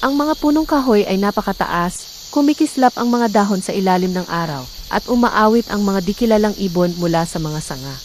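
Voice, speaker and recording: A woman narrating a folktale from a script in a dramatic, deliberate storytelling manner. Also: mid-range voice, animated. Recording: clear background noise, nature sound effects.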